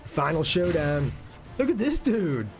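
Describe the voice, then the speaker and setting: A man's voice: a little high, very animated, unhurried, gravelly. A man giving casual gameplay commentary, thinking aloud in an informal way as he plays. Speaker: gaming video host. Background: game music and sounds.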